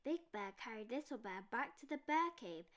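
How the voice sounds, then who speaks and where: Female storyteller, fairly high voice, very varied intonation, expressive children's book narration. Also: moderate pace.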